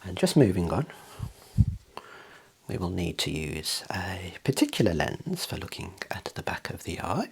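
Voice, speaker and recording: A soft-spoken, whispery ASMR voice in a role play as an eye clinician, gently and deliberately explaining equipment. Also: deep voice, very animated. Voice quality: breathy, whispery.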